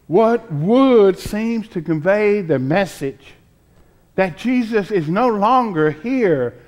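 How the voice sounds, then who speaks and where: An older man preaching a sermon from a pulpit, deliberate and emphatic, pausing between phrases. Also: high-pitched, expressive, darkish tone. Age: 60s or older. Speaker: pastor preaching. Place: church sanctuary, at a pulpit with choir behind.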